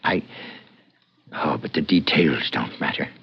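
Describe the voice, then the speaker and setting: An actor playing an anguished, secretive scientist with a husky voice, agitated and halting, in 1940s radio suspense style. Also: male voice, extremely low pitch, lively intonation, full character voice. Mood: anguished confession. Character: frantic, guilt-ridden professor, secretive mad scientist. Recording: dull old radio recording.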